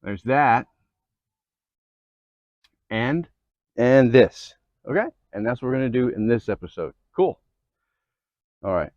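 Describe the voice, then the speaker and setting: A man's voice: medium pitch, expressive, slow, long pauses, darkish tone, dry recording, highs cut off. A man narrating a hands-on how-to in a casual, folksy way, often checking in with short informal asides. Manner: folksy, informal, frequent check-ins like okay and cool. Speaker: host of an off-road DIY channel.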